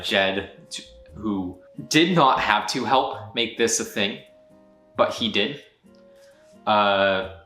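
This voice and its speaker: A man's voice: fairly low pitch, bright, forceful tone. A man hosting his channel's casual year-end recap, rambling and breaking off into jokey asides. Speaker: channel host. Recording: roomy echo with faint music.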